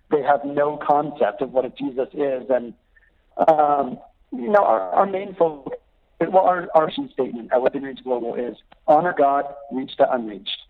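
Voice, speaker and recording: A middle-aged man speaks over a tinny, choppy phone line, his voice sitting a touch high for a man. He tells his stories with lively energy and breaks into laughs as he goes. His talk comes through thin and narrow, like a call on a weak connection. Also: normal speed. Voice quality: laughs often while talking. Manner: lively, animated storyteller. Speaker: missionary guest. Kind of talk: phone interview, storytelling. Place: calling in from abroad.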